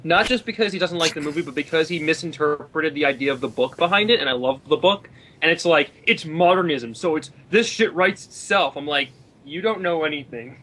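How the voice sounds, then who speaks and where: A man's voice: on the high side, animated, brisk, bright, forceful tone. A man chatting casually about films and books over a voice call. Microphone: voice call on a computer. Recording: noisy internet voice call with clicks.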